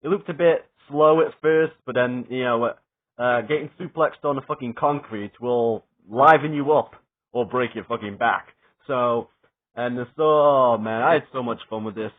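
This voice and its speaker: A young adult man giving a rambling, excited spoken review, swearing often and stumbling over fillers and restarts. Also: mid-range voice, normal speed. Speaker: wrestling reviewer. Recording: tinny phone-like sound.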